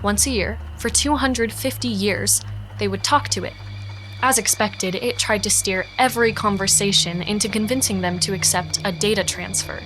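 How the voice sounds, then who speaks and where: An actress narrating a sci-fi audio drama as a matter-of-fact historian, measured and explanatory. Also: female voice, mid-range voice, fairly bright tone, natural voice, low-quality recording. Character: matter-of-fact female historian recounting a space expedition. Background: music.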